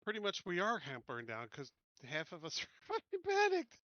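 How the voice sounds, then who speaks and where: A man's voice: sits high, huge pitch swings, brisk, bright, forceful tone, no echo, dull audio. A man playing a tabletop game, talking casually in a blunt, complaining way.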